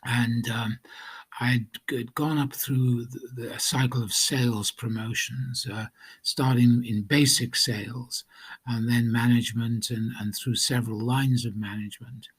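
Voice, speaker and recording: A man from Wales telling his own story of addiction in a slow, hesitant way, with many pauses, ums and restarts. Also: mid-range voice, level tone, husky. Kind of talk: personal testimony.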